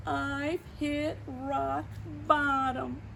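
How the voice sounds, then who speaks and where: A woman's voice: high voice, flat delivery, extremely slow, gravelly, pushed and bright, a little hiss or hum, voice pushed up, full character voice. A woman voicing a guilty, anxious male picture-book character who is flustered, defensive and exclamatory in a children's read-aloud. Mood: despairing. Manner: exclamatory, flustered, over-innocent.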